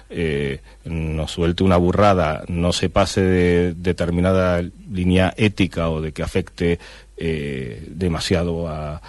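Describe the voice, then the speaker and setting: A man's voice: low-pitched, a little mellow, no echo. A middle-aged man from Argentina, a cartoonist guest answering radio interview questions with many fillers and restarts.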